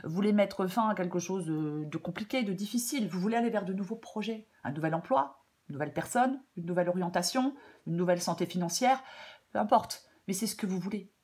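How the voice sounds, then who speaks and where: A woman with a French accent giving a tarot reading in a casual, hesitant way, slightly hoarse, with many fillers and repeated phrases. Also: medium pitch, expressive, brisk, darkish tone. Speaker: tarot reader. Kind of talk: casual spoken tarot guidance.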